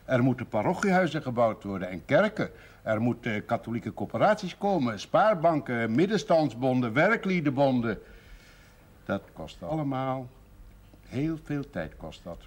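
An actor playing an older provincial father in a television drama, genial but blunt, speaking deliberately and pointedly. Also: medium pitch, big pitch swings, husky. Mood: reproachful. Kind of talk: 1970s Dutch television drama acting.

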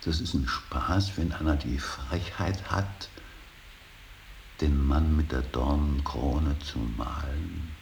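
Very deep, gravelly old painter. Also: male voice, slow, exaggerated voice. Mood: hushed reverence. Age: older. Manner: solemn, intense, swings from hushed whisper to outburst. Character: stern, brooding old painter-professor and father. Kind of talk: 1980s German radio drama acting. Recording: hissy radio drama recording.

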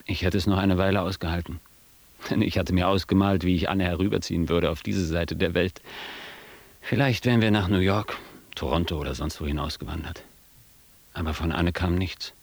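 An actor playing a worldly, ironic young East German writer looking back in a radio drama. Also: male voice, sits low, steady tone, a little fast, dry recording. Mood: wry, reminiscing. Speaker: actor playing narrator and lead. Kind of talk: radio drama narration and dialogue.

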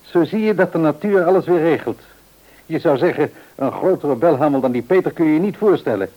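Older dad, radio play: husky, kindly, practical, lively inflection, reassuring. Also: male voice, on the high side, fairly quick, lo-fi sound. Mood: amused, musing. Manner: avuncular, musing, reassuring.

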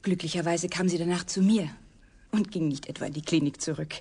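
Driven young female scientist for radio play: low, breathy-husky, composed, formal delivery. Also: warm tone. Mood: subdued. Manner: measured, earnest, formal. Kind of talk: German radio drama acting.

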